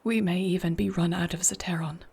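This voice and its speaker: A woman playing a noble warrior princess in a fantasy audio roleplay, speaking solemnly, formally and with deliberate care. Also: fairly low pitch, steady tone, gravelly, a little mellow, husky. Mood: anguished, grave. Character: noble warrior princess, grave, torn, devoted wife.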